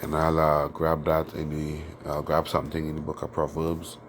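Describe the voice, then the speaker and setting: Very deep, flat-voiced man with a sore throat. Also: moderate pace, slight background noise. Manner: conversational, many fillers and tag questions. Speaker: teacher of a religious group. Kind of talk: informal scripture lesson.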